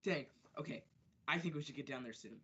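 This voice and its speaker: A woman playing a casual, curious customer in a scripted radio advert, husky and laid-back. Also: low voice, a little fast, a bit bright, muffled recording.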